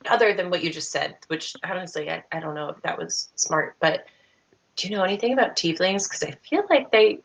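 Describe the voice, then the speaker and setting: Lower-voiced woman, hesitant game player. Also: creaky, rough recording. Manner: hesitant, halting. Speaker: tabletop role-playing player. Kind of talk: casual in-character role-play over online chat. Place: indoor room on a group video call.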